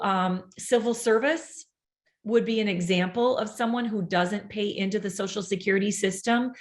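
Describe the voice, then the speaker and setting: A woman giving an informational presentation, brisk and upbeat, explaining things step by step and answering audience questions. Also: medium pitch. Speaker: presenter of a benefits seminar.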